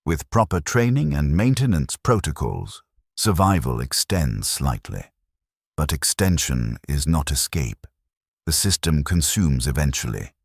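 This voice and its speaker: A man performs a resigned, detached soldier-clerk from a grim sci-fi war story. His voice is extremely deep and husky, recorded very dry. He delivers terse, clinical sentences as if filing a log. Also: very animated, unhurried, dry recording, full character voice. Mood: somber, reflective. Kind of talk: scripted first-person dramatic narration.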